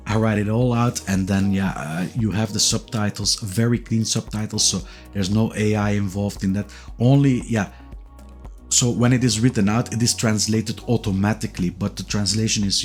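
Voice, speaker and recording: A man in his forties, a native Dutch speaker with a Dutch accent, talking casually and hesitantly with lots of fillers as he introduces his review channel. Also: on the low side. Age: 40s. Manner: relaxed, hesitant, many "uh" and "yeah" fillers and repeated words. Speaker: YouTube reviewer of sim racing gear. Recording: faint music bed behind the voice.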